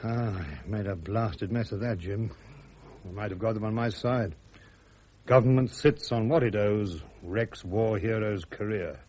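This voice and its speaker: An actor playing a bitter, proud, court-martialled army major in a radio drama, curt, dry and sardonic, on a muffled recording. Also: male voice, lowish voice, a little slow, darkish tone.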